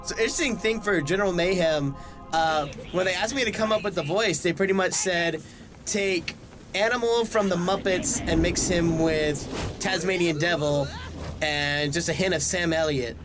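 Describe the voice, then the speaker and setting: A young man hosting a let's-play in excited, animated gaming commentary, recorded close up in a room. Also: sits high, moderate pace. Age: young adult. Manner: animated, whooping and hollering. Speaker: voice actor and let's-play host. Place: small room indoors. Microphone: headset on, desk microphone up close. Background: loud game music.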